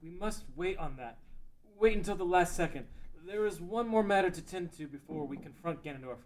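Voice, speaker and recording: A man's voice: sits high, expressive, a little fast, darkish tone. A man playing a grave old sage and advisor in a table read of a fan script. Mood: solemn.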